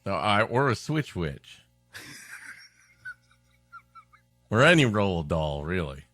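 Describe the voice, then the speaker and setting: A man's voice: low-pitched, big pitch swings, takes it slowly, a bit bright, faint music behind. A man co-hosting a comedy podcast, riffing in a sarcastic, mock-exasperated way. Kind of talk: joking riffing on a story being read.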